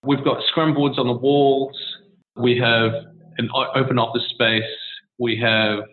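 A man's voice: mid-range voice, measured pace, slight background noise. A man, a startup co-founder giving long, easygoing interview answers with frequent restarts. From New Zealand. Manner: relaxed and discursive, with many restarts and fillers. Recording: tinny phone-like line.

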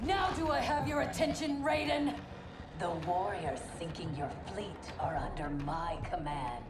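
A woman's voice: fairly low pitch, expressive, unhurried, reverberant, music in the background. A woman voicing a resentful, ambitious young cyborg warrior in a video game, with a defiant, biting delivery. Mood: angry defiance. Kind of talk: dramatic video game cutscene acting.

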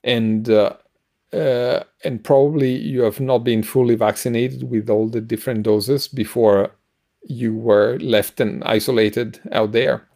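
A middle-aged man co-hosting a casual news discussion over a video call, speaking haltingly with frequent pauses. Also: medium pitch, fairly even intonation, mellow. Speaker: podcast co-host. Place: home room, indoors.

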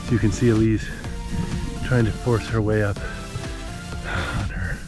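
A man's voice: fairly low pitch, steady tone, unhurried. A young man narrating a hiking vlog outdoors on a mountaintop in a dry, wry, understated way, recorded on an action camera. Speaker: vlogger hiker. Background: background music.

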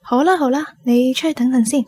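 An actress playing a selfless young woman hiding her illness in a radio drama, soft and evasive, with a slightly husky voice. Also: high voice, steady tone, quick pace. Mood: guarded. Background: music.

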